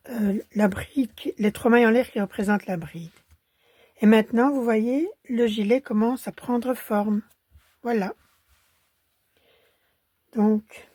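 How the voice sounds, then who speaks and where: A woman with a Belgian French accent, hoarse from a cold, calmly teaching a crochet pattern step by step and counting stitches under her breath. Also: medium pitch, dry recording.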